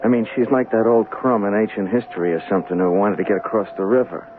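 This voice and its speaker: An actor playing a haunted young hustler in a seventies radio drama, streetwise, slangy and stammering. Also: male voice, fairly low pitch, steady tone, quick pace, background noise, natural voice. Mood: bitter, agitated. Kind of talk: 1970s radio drama acting. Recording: muffled old radio broadcast with music.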